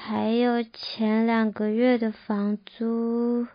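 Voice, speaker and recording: A woman's voice: fairly high pitch, completely flat delivery, slow, dry recording. A woman playing a plaintive, manipulative ex-girlfriend who pleads coyly in an audio drama. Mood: hesitant, wheedling. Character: clingy, manipulative engaged ex-girlfriend, plaintive and coy. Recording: slightly dull audio with music.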